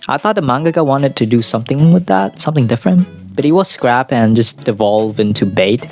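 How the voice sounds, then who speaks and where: A man's voice: mid-range voice, lively intonation, a little fast, darkish tone. A man giving casual, opinionated video essay commentary, informal and sweary, with dramatic asides. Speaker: anime reviewer. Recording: background music under voice. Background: music.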